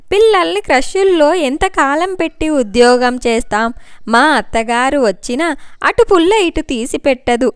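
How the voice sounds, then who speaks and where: A woman's voice: high voice, dry recording, studio quality. A woman voicing an aggrieved mother, grumbling about home life in an audio story. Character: aggrieved mother who quit work, resents mother-in-law.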